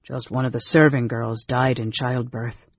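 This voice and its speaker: A man voicing a gruff, weary innkeeper in a fantasy audiobook, with blunt, folksy rustic speech. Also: mid-range voice, level tone, normal speed, dry recording, muffled recording. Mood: sad, blunt.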